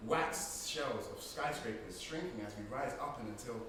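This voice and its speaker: A man's voice: mid-range voice, normal speed, lightly acted. Echoey, distant young dreamer played by a man. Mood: dreamy. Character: intense, restless young male dreamer, wry and poetic. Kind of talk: theatrical dramatic monologue. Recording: echoey room, far mic, noisy.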